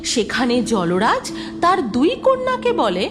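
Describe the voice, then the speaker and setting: A woman's voice: high voice, wildly swooping pitch, slow pace, over music. A woman narrator reading a scripted story for a cartoon in a storytelling style.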